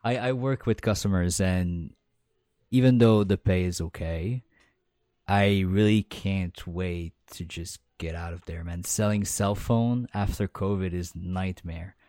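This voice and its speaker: Low-voiced man, halting casual talk. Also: dark tone, no echo, clean studio sound. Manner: halting, restarts a lot. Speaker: podcast co-host. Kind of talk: casual chat.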